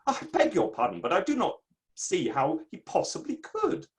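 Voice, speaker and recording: A man's voice: fairly high pitch, huge pitch swings, moderate pace. A man playing a pompous, self-satisfied Victorian playwright in a theatrical dramatic reading. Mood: defensive, haughty. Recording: online reading from home setup.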